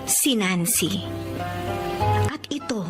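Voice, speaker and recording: An actress playing a gentle, forgiving woman in a Filipino radio drama, earnest and sentimental. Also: medium pitch, lively intonation, very slow, over loud music. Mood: reflective. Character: forgiving, gentle young woman telling her life story.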